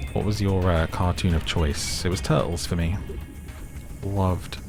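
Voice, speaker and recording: Brief: deep, low-voiced guy, laid-back let's-play chatter, slightly worn voice, music underneath. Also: fairly even intonation, slow pace. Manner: rambling, chatty, self-deprecating asides. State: slightly losing his voice. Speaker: gaming let's-play host. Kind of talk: chill casual gameplay commentary. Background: background music.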